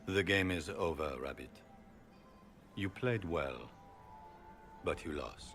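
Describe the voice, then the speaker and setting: A man's voice: very deep voice, slow pace, fairly bright tone, faint music behind, full character voice. An actor playing a haughty, warlike nobleman in a fantasy game, grandiose in manner. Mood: triumphant. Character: haughty, forceful warlike nobleman, claimant to a throne. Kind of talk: fantasy video game acting.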